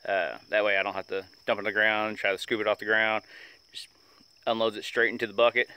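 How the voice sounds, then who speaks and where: A man's voice: on the low side, fast, clean tone. A man in his thirties casually talking through a how-to outdoors in a rural yard, with lots of uh fillers, recorded on a handheld phone. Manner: relaxed, rambling, many "uh" fillers. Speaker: homesteading vlogger. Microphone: phone camera held at arm's length. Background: crickets and insects.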